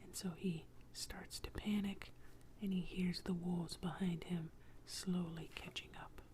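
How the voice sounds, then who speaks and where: A woman telling an ASMR bedtime story in a hushed, breathy whisper, close to the microphone. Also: on the low side, level tone, a little slow.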